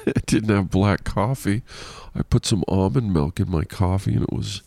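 A man's voice: low voice, a little slow, gravelly, warm tone, no echo. A man hosting a casual solo podcast, rambling and self-deprecating with frequent laughs, sounding congested and nasal. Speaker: podcast host.

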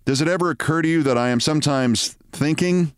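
A middle-aged daydreamer who speaks evenly and in an understated way, voiced by a man in his own register. The tone is smooth and clear, and the sound is dry and close. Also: a little high, a little fast, dry recording, voice pushed up, lightly acted. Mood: calm. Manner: even, understated. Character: middle-aged daydreamer, calm, coolly heroic in fantasies.

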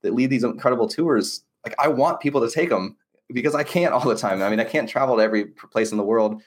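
A man's voice: medium pitch, fast. A man being interviewed as an agency owner, explaining his work in an earnest, casual, conversational way.

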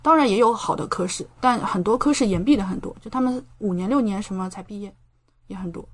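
A woman's voice: mid-range voice, quick pace. Young Chinese woman explaining things conversationally. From China. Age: young adult. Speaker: podcast guest, doctoral student in medicine. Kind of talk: conversational interview answers, explanatory.

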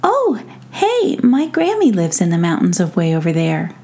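A woman's voice: on the low side, huge pitch swings, normal speed, warm tone, heavily acted. A woman voicing a friendly, polite, hopeful young boy in a children's story, earnest and easygoing.

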